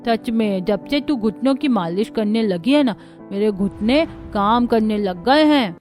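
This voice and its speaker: A woman's voice: fairly high pitch, expressive, measured pace, a little mellow, soft background music. A woman voicing a homely, bossy elderly grandmother in a Hindi cartoon family story. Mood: calling, grateful. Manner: homely, instructing.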